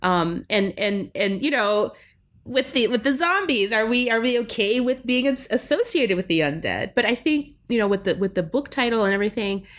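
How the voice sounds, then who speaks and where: A woman's voice: a little high, animated, normal speed. A woman linguistics professor talking as a podcast guest in a reflective, academic way, often checking in with "right?". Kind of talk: academic interview talk.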